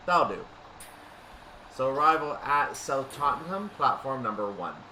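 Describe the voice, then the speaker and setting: A man's voice: mid-range voice, animated, unhurried. A man giving play-by-play commentary on a train simulator game, narrating his own actions in announcer-like phrases. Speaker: video game streamer. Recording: distant mic, loud vehicle-like background noise.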